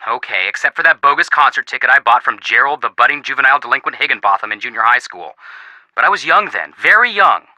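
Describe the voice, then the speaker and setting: A man voicing an eager, wisecracking young American amateur sleuth in a video game, expressive and earnest. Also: mid-range voice, quick pace, no echo, poor audio. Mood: playful. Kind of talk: video game phone dialogue acting.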